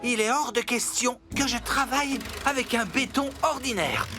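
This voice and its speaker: A woman's voice: on the high side, moderate pace, close to an everyday voice. A woman voicing an eager, boastful young male digger vehicle in a French cartoon dub, enthusiastic and exclamatory. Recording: clear music behind the voice. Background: music.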